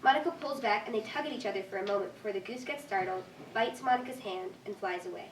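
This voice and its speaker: A woman's voice: mid-range voice, fast. A woman reading scripted stage directions as narrator at a staged reading. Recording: distant echoey room, faint noise.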